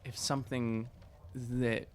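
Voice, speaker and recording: Medium-pitched man, deadpan in game chat. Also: slow, slight background noise. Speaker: player in a tabletop game. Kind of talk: casual improvised game chat.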